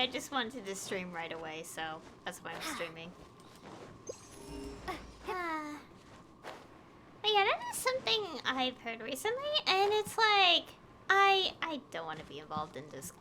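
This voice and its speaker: A woman chatting casually as she livestreams a video game, halting and often circling back to rephrase herself. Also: high voice, wildly swooping pitch, bright, forceful tone. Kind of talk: casual rambling chat while gaming. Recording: clear background noise behind stream voice.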